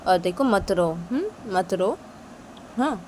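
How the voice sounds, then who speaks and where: A woman's voice: medium pitch, animated, takes it slowly, a little mellow, a little hiss or hum, voice pushed down. A woman voicing a wealthy mother who is a firm boss, questioning sharply and reassuring her employee. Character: strict wealthy mother, female boss, firm but kind.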